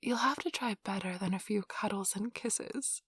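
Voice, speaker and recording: A woman playing a nerdy, affectionate girlfriend in an ASMR roleplay, rambling softly and casually in a gushing, breathy voice. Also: medium pitch, moderate pace, dry recording. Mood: tender, teasing. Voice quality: soft, breathy, slightly husky. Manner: gushing, casual, giggly, chatty. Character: nerdy affectionate girlfriend, excitedly rambling about tabletop gaming. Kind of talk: soft-spoken ASMR roleplay, intimate rambling. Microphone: close microphone, dry.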